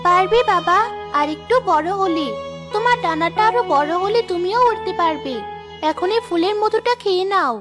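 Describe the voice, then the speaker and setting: Very high pitch, woman as little fairy mom, gentle, pleading, cartoon fairy tale, music underneath. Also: expressive, moderate pace. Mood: tender. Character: tiny, gentle fairy mother living in a pumpkin. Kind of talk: Bengali cartoon voice acting.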